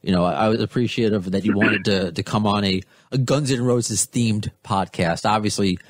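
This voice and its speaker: A man hosting a podcast interview, chatting in a friendly, appreciative way with quick asides. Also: on the low side, dry recording, studio quality. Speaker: podcast host. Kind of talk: casual interview chat.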